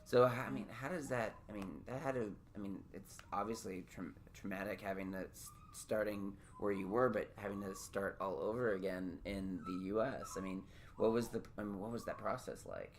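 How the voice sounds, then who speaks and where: A man's voice: fairly low pitch, fairly even intonation, moderate pace. Male podcast host, casual and self-mocking, rambling with jokes. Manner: rambling, self-deprecating, joking. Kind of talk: casual interview chat.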